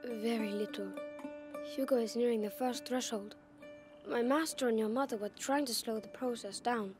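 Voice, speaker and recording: A woman's voice: on the high side, steady tone, normal speed, lightly acted. A performer with a woman's voice playing a bookish young alchemist's apprentice in a video game, careful and explanatory. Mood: grave, earnest. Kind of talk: dramatic video game acting. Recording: faint music behind the voice.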